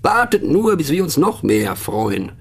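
A man's voice: on the high side, big pitch swings, a little slow, creaky, over music, full character voice. A man playing a pensive, mocking narrator in a satirical radio play monologue, speaking ironically with conversational, questioning asides. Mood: scornful. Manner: ironic, rhetorical, punctuated with little questioning tags. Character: wry, pensive, mocking male narrator musing on words.

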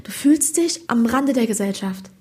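A young woman from Hesse with a Hessian accent, a stand-up comedian chatting in an interview, animated and slangy, often asking "you know?". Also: on the high side, moderate pace, breathy edge. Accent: Hessian German. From Hesse, Germany. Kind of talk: casual interview chat.

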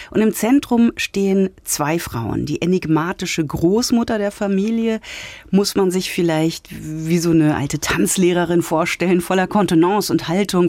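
A woman's voice: fairly low pitch, mellow, no room sound. Woman reviewing books, close dry sound. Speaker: host and literary critic. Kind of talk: radio book review in conversation.

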